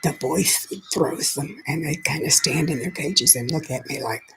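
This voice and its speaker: A woman's voice: deep voice, moderate pace, a bit bright, a little hiss or hum. A woman who has lost her voice, whispering her way through a chatty livestream and greeting viewers by name. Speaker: livestream host. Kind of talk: casual live chat with viewers.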